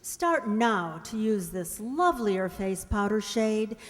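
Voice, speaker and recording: Female commercial announcer, vintage radio ad read, measured pace, reverberant hall. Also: medium pitch, lively intonation. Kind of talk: scripted 1940s-style radio advertisement. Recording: echoey hall, long reverb.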